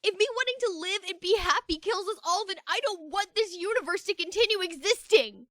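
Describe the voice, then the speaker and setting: Woman playing a shrill, fed-up young woman. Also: lively intonation, a little fast, a bit bright, no room sound, clean studio sound. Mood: furious, anguished. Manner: emphatic, foul-mouthed. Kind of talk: fan fiction audio drama acting.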